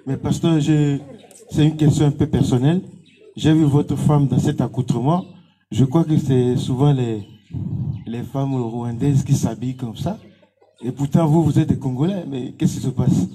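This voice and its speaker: A man's voice: medium pitch, fairly even intonation, moderate pace, warm tone, slightly rough. A man, asking informal, probing questions as an interviewer.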